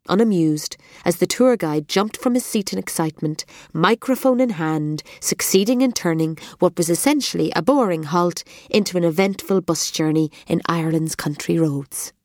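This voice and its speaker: Low-voiced woman reading an audiobook. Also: dry recording, clean studio sound. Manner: measured, expressive storytelling. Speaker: audiobook narrator. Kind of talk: scripted audiobook narration.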